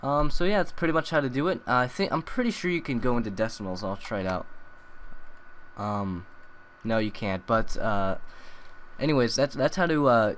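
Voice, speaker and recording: A young man talking through a software tutorial in a loose, rambling way, full of ums and uhs. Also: mid-range voice, expressive, normal speed, slight background noise. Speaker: tutorial maker. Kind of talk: casual screen-recorded tutorial.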